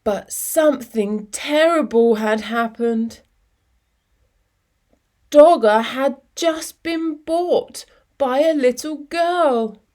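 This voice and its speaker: A woman reading a children's story expressively, with measured phrasing and dramatic pauses. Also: high voice, a little mellow.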